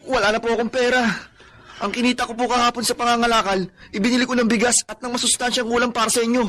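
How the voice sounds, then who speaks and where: A man's voice: extremely high pitch, little pitch movement, quick pace, sharp tone. An actor playing a devoted, respectful son who pleads politely and earnestly with a cruel father in a radio drama. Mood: pleading, hurt. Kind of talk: Filipino radio drama acting. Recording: faint music behind the dialogue.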